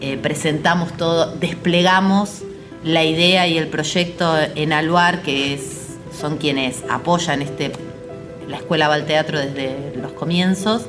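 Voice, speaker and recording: An Argentine woman talking reflectively and conversationally about a creative project, often halting as she searches for words. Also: sits low, fairly even intonation. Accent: Argentine Spanish. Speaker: theater artist and educator. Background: music behind the voice.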